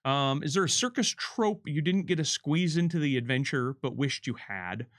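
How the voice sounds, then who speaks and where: A man speaking as a game developer in a casual commentary chat, agreeable and quick to affirm what is said. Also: a little high, lively intonation, a bit bright. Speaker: game developer reading out and answering viewer questions.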